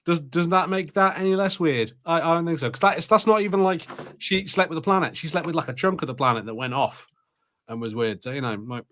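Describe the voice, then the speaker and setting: Opinionated man with a slightly high voice. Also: animated, brisk, pushed and bright. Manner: opinionated, argumentative, casual swearing. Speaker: podcast host. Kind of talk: casual pop-culture podcast banter.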